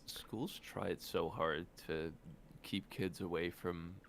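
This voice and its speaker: A man co-hosting a casual chat, relaxed and agreeable in his banter. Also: sits low, slow, gravelly. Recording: faint background noise.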